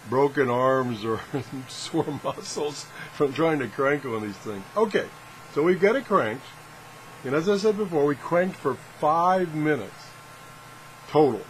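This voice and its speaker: A man's voice: a little high, rough recording. A man hosting a casual, hands-on product demonstration in a home workshop, talking in a rambling, folksy, self-deprecating way. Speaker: video host reviewing gadgets. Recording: steady fan and room noise.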